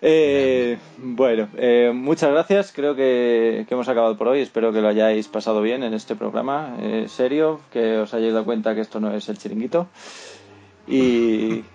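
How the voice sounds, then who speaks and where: A man's voice: mid-range voice, normal speed, dark tone, highs cut off. A man with a Spanish accent hosting an unscripted panel interview on a podcast. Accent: Spanish (Spain). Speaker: podcast host.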